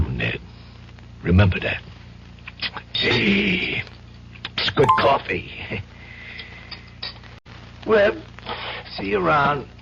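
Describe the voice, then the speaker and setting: An actor with an American accent playing a streetwise, slangy, loyal but menacing pal in an old-time radio drama, casual and wisecracking. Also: male voice, low-pitched, vocal fry, a bit bright, heavily acted. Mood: quietly scheming. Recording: dull, faintly noisy old radio recording.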